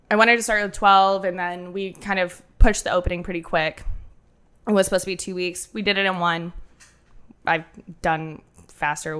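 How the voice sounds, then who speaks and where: A woman bartender with a nasal voice, chatting casually on a podcast and telling animated stories with quoted asides. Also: medium pitch, clean tone. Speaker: bartender and cocktail menu creator.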